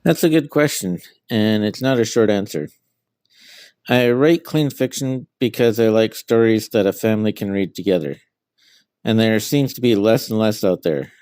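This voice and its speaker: Lowish-voiced middle-aged man, very dry sound. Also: lively intonation, moderate pace, darkish tone, smooth, no echo. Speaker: author being interviewed. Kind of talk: interview answers.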